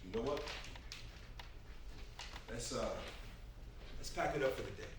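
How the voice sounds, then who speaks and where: A man acting a gruff but caring older brother, a hardworking mechanic, in a staged reading, talking in a colloquial, exasperated way. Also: mid-range voice, a little slow, close to an everyday voice. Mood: apologetic. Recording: roomy, distant mic, loud background noise.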